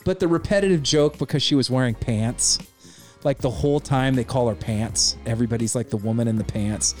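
A man's voice: medium pitch, fairly quick, faint music behind. A man co-hosting a casual podcast, giving a rambling, opinionated movie review. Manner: opinionated, rambling, animated retelling. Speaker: podcast co-host.

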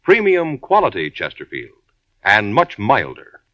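A man announcing a 1950s radio advertisement in a persuasive, sales-pitch delivery. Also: measured pace, dry recording. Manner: bright, lively, persuasive. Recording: muffled, dry old radio broadcast.

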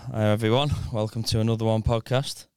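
Smooth-voiced man cohosting a podcast. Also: lowish voice, little pitch movement, darkish tone, dry recording, clean studio sound. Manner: laughs often, talks in quick bursts with restarts. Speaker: podcast co-host. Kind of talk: casual podcast chat.

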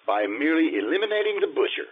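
A man's voice: a little high, very animated, fast, slightly rough. A man playing an earnest, naive, mild-mannered fellow in an old-time radio sitcom, with an eager, guileless delivery. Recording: tinny, noisy radio-style recording.

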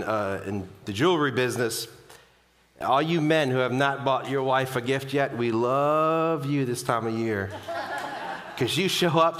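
A man's voice: mid-range voice, a bit bright. A man preaching to a congregation in a chatty, joking, storytelling way. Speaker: guest preacher. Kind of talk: conversational sermon opening with humor.

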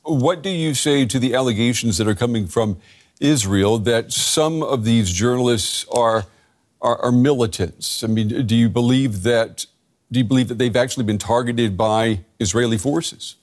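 A man's voice: medium pitch, normal speed. Male news interviewer, mid-range voice. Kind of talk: broadcast interview questioning.

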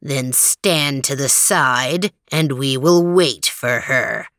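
A woman voicing a gruff male troll, a small hedgehog in disguise, with a deep growl in a storybook reading. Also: a bit bright, no room sound, studio quality, full character voice. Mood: menacing. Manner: slow, menacing demands.

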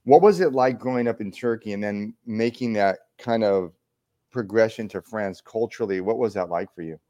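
A man's voice: on the low side, normal speed, dark tone, muffled recording. Enthusiastic male podcast host. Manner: enthusiastic, apologetic, eager questions. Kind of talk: interview hosting.

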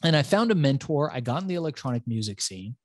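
A man from Iran, whose first language is Farsi, recounts his rough early years as a lively guest in an interview. His delivery is animated and peppered with swearing. The recording is dry and close. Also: mid-range voice, fast, no echo. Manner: animated, profane storyteller. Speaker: entrepreneur guest. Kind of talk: storytelling interview.

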